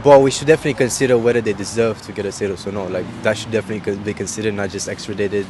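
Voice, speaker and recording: A man's voice: on the low side, steady tone, very fast. A young man from Romania, a university student answering street interview questions in a hesitant, earnest way. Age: young adult. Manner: hesitant and earnest, with many "I mean" fillers. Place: outdoors on a street. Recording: outdoor street recording with traffic.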